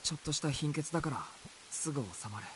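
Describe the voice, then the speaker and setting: A man voicing a mild, polite, sickly young man in a Japanese visual novel, soft-spoken over hissy streamed game audio. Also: a little high, very fast, natural voice, low-quality recording. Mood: weak, reassuring.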